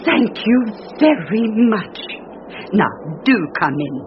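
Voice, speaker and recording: An actress playing a kindly, genteel elderly spinster in a radio drama, talking in a fluttery, fussing way. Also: female voice, medium pitch, big pitch swings, slow, muffled recording, background noise. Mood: welcoming. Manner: genteel, fluttery, wide-swinging voice.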